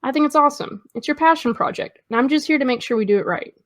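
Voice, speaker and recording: A woman's voice: high voice, fast talker, dry recording, lightly acted. An actress playing a guarded, hungover teenage girl, dry, hesitant and defensive, in a naturalistic audio drama.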